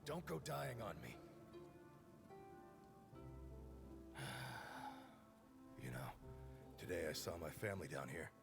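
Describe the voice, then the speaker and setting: Tired loyal mage, low gravelly voice, emotional game drama, loud music behind. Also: male voice, huge pitch swings, moderate pace, full character voice. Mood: troubled. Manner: sighing, emotional. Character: weary, loyal mortal sorcerer trapped underground with his comrade. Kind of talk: video game voice acting.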